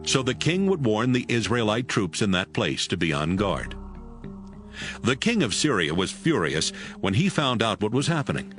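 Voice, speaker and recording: Expressive, lowish-voiced male narrator. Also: normal speed. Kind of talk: scripted dramatized Bible narration. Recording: music behind the voice.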